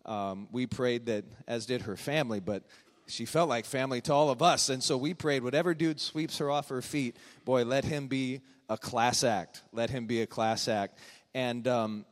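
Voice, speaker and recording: A man pastor introducing guests during a church service, speaking in a halting, heartfelt way with frequent ums. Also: mid-range voice. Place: church sanctuary. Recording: long hall reverb.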